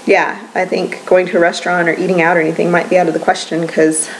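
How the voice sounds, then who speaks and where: A woman's voice: lowish voice, flat delivery, fast talker, background noise. A woman in her twenties chatting casually in a home vlog, rambling with lots of ums and little laughs. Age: 20s. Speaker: vlogger.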